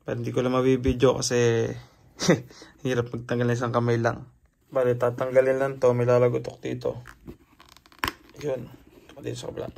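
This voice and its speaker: A man's voice: mid-range voice, monotone, moderate pace, creaky, long pauses, a little mellow. A man vlogging casually, talking through an unboxing and setup as he handles the gear, informal and mixing in English words.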